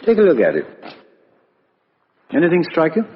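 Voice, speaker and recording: An actor playing a brisk, shrewd English police inspector in a 1950s British radio drama, blunt and businesslike. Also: male voice, a little high, wildly swooping pitch. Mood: brisk, matter-of-fact. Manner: businesslike, colloquial, matter-of-fact. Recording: muffled, reverberant vintage radio recording.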